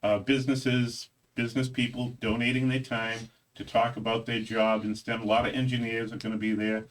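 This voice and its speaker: A man hosting a casual interview show, talking in a relaxed way with fillers and stumbles. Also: medium pitch, normal speed, far from the mic, low-quality recording. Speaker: host.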